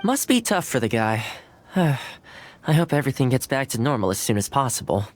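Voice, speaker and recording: An actor voicing a courteous, easygoing young male retainer in game dialogue, polite and gently humorous. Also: medium pitch, sing-song, normal speed, a little hiss or hum, lightly acted. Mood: thoughtful.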